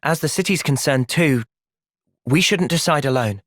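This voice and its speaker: An earnest young soldier hero from a video game, acted by a man, with an English accent and a close, dry sound. He is gentle and thoughtful, speaking with measured, soft seriousness. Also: fairly high pitch, no room sound, lightly acted. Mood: calm, resolute. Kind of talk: video game cutscene voice acting.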